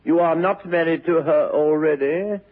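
A male actor plays a witty young English gentleman of leisure, teasing and mock-serious, with upper-class vowels. The voice is slightly high and husky, rising and falling theatrically. It comes through a muffled vintage radio recording. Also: moderate pace, close to an everyday voice. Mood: teasing, emphatic. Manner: arch, mock-serious, precisely argumentative.

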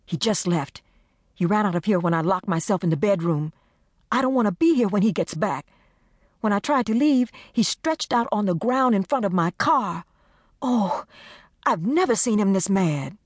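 A female reader performs a panicked best friend with a shrill, soaring voice that climbs in pitch as she pleads. Her delivery is lively and frantic. The recording is close and dry. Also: normal speed, dry recording, lightly acted. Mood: frantic pleading.

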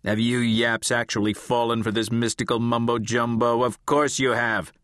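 A man voicing a cantankerous, gravelly old male spirit who scoffs belligerently, in an audiobook. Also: mid-range voice, level tone, normal speed, fairly bright tone, dry recording, clean studio sound, natural voice. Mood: scornful. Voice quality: gravelly, croaking. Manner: belligerent, scornful. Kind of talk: audiobook character voice.

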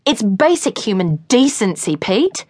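A woman plays a young woman in a fury, her voice pitched up high and sharp with contempt. She bites off her words with sarcasm. The sound is dry and close. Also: big pitch swings, unhurried, no echo. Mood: furious. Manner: sarcastic, then cold and cutting. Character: furious young woman betrayed by friend.

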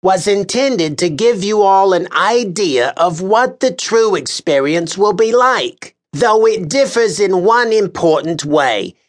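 A man's voice: sits high, animated, takes it slowly, few pauses, voice pushed up. A male narrator voicing a vain, officious, short-tempered man who speaks in an exasperated, condescending way. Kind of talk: audiobook character voice.

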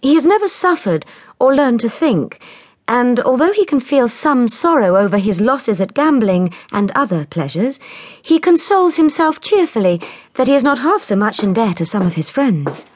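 An actress as a composed, wry storyteller, narrating a period literary drama in a measured way. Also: female voice, fairly high pitch, very animated. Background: music.

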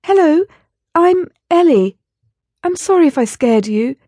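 A woman voicing a polite, eager little girl who talks sweetly and quickly. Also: very high voice, expressive, mellow, dry recording, studio quality, voice pushed up. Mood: friendly.

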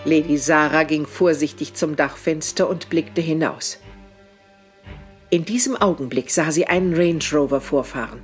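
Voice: mid-range voice, moderate pace, soft background music. A narrator reading scripted dramatic narration for a German audio drama.

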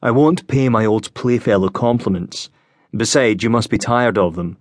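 Earnest, frail young gentleman officer, voiced by a man. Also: on the low side, moderate pace, dry recording, studio quality, close to an everyday voice. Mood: courteous, wry.

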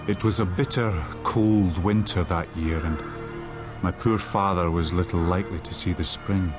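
An actor playing a man who recounts his boyhood, narrating a dramatised audiobook in a sombre, measured way. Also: sits low, steady tone, music in the background, natural voice. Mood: ominous.

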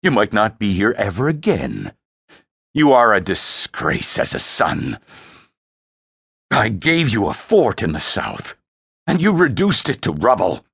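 Domineering imperial father for a fantasy story, played by a male narrator: gravelly, scornful, slow and heavy. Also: mid-range voice, wildly swooping pitch, fairly bright tone, dry recording, clean studio sound, pitched above the performer's own voice, full character voice. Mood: cold disgust. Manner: scornful, commanding, snorting disdain. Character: towering thunderous emperor, a contemptuous domineering father. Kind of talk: dramatic fantasy audiobook character voice.

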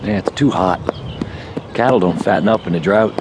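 An actor playing a confident, possessive young rancher in a Western audio drama. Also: male voice, sits low, moderate pace, background noise, close to an everyday voice. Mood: dismissive.

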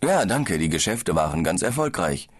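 Low male, calm and explanatory, scripted audiobook dialogue. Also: moderate pace, breathy edge. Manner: calm, explaining, slightly tired. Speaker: business traveller in a language-course dialogue.

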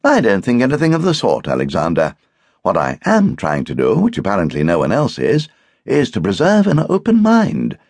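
A man's voice: lowish voice, wildly swooping pitch, normal speed, a little mellow, no echo, pitched above the performer's own voice, exaggerated voice. A man voicing a witty, teasing English houseguest with a pompous, mock-wounded manner, as in an audiobook. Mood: argumentative.

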